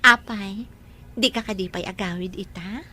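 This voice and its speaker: An actress with an Ilocano accent playing a flirtatious, meddling woman in a radio drama, coy and animated. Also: medium pitch, normal speed, a little hiss or hum. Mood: coy, persuasive.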